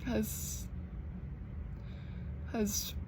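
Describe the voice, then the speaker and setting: A young American woman who stutters, with repeated sounds and blocks, talking slowly and earnestly in a personal vlog. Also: noisy background. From United States. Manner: slow, earnest, gently encouraging. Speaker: vlogger.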